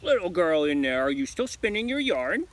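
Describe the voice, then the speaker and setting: A loud, shrill old witch , as a male storyteller puts her on, bossy and suspicious. Her calls ring out high and hard. The live recording carries clear background noise. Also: big pitch swings, moderate pace. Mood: calling. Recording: noisy live room recording.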